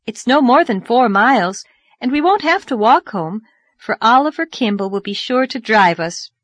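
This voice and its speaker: A woman's voice: a little high, lively intonation, moderate pace, no room sound, voice pushed up. A woman voicing a practical, optimistic young woman schoolteacher in a storybook reading. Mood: brisk, confident.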